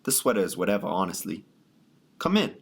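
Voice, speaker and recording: A man's voice: sits low, big pitch swings, fairly quick, gravelly, exaggerated voice. A man playing an earnest, wistful young man in a radio drama. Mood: earnest, eager. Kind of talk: scripted radio drama acting.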